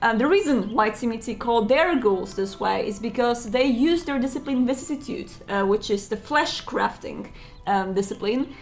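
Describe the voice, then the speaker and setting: Playful woman gamer. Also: a little high. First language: Polish. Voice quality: playful, giggly, chatty. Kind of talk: let's play commentary. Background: game music.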